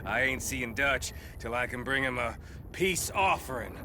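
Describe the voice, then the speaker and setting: A man's voice: medium pitch, animated, moderate pace, natural voice. Game villain male actor: cajoling outlaw, US drawl, swooping delivery, noisy backdrop. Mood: sly, cajoling. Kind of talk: video game cutscene acting.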